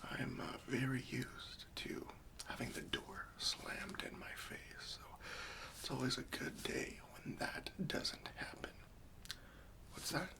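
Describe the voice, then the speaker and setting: Man acting a gentle whispering cleanup worker, creaky voice. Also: mid-range voice, steady tone, slow pace. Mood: polite, introductory. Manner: soft, hesitant, soothing, politely tactful. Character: gentle, patient, faintly wry professional hoarding cleanup worker, male. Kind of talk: whispered ASMR roleplay.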